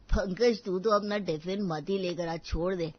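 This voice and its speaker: A woman voicing a teasing, blunt office friend in an animated story. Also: on the low side, a little slow, dull audio. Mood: mocking.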